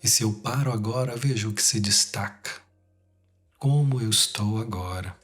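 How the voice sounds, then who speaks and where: A Brazilian man leading a guided meditation, speaking in short, unhurried phrases with long pauses between them. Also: fairly low pitch, a bit bright, husky. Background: music.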